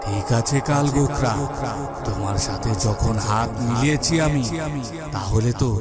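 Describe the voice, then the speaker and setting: A man voicing a confident, plotting evil sorcerer emperor in a cartoon, slow, boastful and theatrical. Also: medium pitch, close to an everyday voice. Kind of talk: dramatic cartoon villain acting. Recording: loud music behind the voice.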